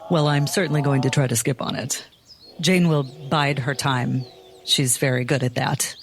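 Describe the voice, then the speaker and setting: A woman playing in a tabletop roleplaying game, narrating and speaking in character in a guarded, deliberate way. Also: rumbling low, steady tone.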